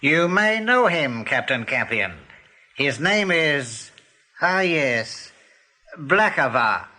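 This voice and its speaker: An actor playing a ponderous, pompous old rabbit general in a radio play, deliberate and self-repeating. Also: male voice, on the high side, big pitch swings, sharp tone, exaggerated voice. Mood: slow, musing.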